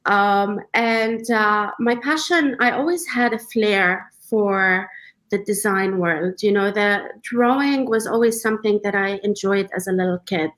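A woman in her thirties who grew up in the UAE, a designer answering interview questions in a relaxed, conversational way with frequent fillers. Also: medium pitch. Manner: conversational, many fillers, measured pace. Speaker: guest, designer.

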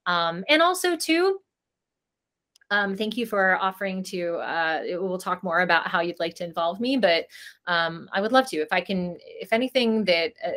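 A woman's voice: lowish voice, a bit bright. A woman speaking as a podcast guest in an effusive, rambling, casual way with giggly asides. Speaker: podcast guest, princess party company owner. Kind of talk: casual video-call interview chat.

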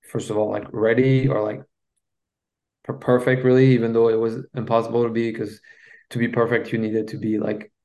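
A man from Belgium whose first language is French, speaking English with a Belgian French accent, recounting his past as a podcast guest in a halting way with frequent restarts. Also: medium pitch, flat delivery, a little mellow, poor audio. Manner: halting, with many restarts and fillers. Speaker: guest, relationship coach. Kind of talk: personal story in a podcast interview.

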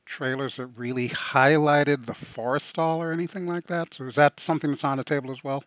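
A man's voice: a little high, fairly even intonation, fairly quick, fairly bright tone, no echo. A man co-hosting a podcast, asking casual questions in loose, run-on phrases. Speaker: podcast co-host.

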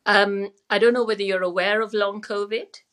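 A woman's voice: medium pitch, fairly even intonation, moderate pace, fairly bright tone. A middle-aged woman from Sri Lanka, telling her personal story conversationally as a podcast guest. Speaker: podcast guest, former doctor and wellness coach.

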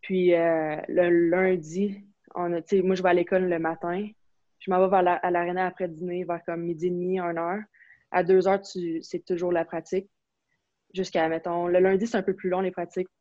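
A young woman from Quebec with a Quebec French accent, chatting casually as an interview guest, relaxed and full of fillers and restarts. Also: lowish voice, normal speed, no room sound. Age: young adult. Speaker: interview guest, university hockey player. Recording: slightly dull, lo-fi sound.

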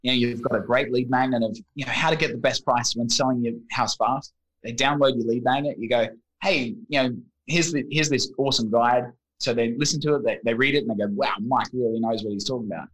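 Australian man, thirties, guest on a casual podcast, lively storyteller who slips into quick impressions. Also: medium pitch, rough recording. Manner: animated storyteller, acts out voices in anecdotes.

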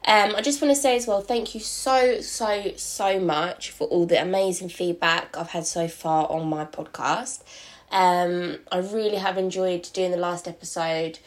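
A young woman hosting her own podcast in casual, rambling chat, a little croaky from a sore throat. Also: fairly low pitch, steady tone, measured pace. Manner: chatty, rambling, many ums and likes. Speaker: podcast host.

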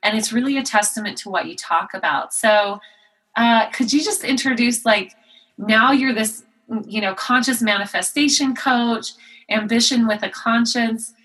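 A woman's voice: fairly high pitch, fairly bright tone, low-quality recording. A woman hosting a podcast interview, enthusiastic and gushing, stopping and restarting often. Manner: enthusiastic, gushing, halting with fillers. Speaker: podcast host.

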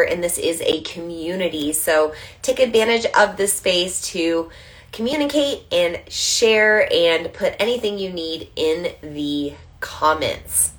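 A woman hosting a live online moon circle, slightly stuffy from allergies, chatting casually and gently guiding breathing. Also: lowish voice. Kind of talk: casual livestream with guided breathing. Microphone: livestream camera mic.

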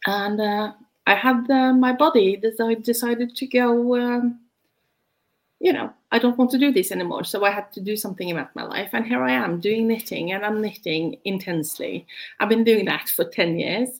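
A woman's voice: medium pitch, moderate pace. A middle-aged woman with a Norwegian accent, co-hosting a casual welcome chat in a chatty way, with laughs and many hesitant fillers. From Norway. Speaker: co-host, knitting teacher.

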